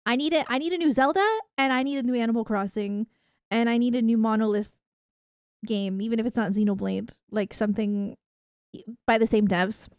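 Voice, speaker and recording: A woman's voice: fairly high pitch, fairly even intonation, a little fast, a little mellow, smooth, no echo, clean studio sound. A woman livestreamer chatting casually with her viewers in an enthusiastic, emphatic gamer way. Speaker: video game livestreamer.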